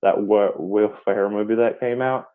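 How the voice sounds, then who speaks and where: A man hosts a casual podcast interview, rambling through his questions with restarts. His voice sits on the lower side. The online call audio is tinny, like a phone line. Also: fairly even intonation, normal speed. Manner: rambling, many fillers and restarts. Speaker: podcast host.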